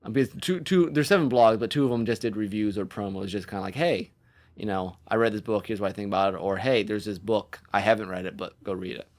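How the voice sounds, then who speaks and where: A man's voice: fairly low pitch, fast talker, darkish tone. Rambling, self-deprecating man chatting casually. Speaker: podcast co-host and author. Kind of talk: casual podcast chat.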